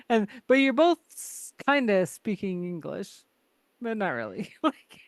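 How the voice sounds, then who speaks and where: A woman's voice: medium pitch, very animated, slow, no echo. A woman hosting a podcast, chatting casually with frequent laughs and lots of filler words. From Canada. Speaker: podcast host.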